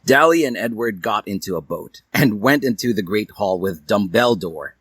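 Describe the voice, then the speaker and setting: Animated low-pitched man reading a story. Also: moderate pace, husky, no echo. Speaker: reader of fan fiction. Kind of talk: theatrical storybook narration read aloud.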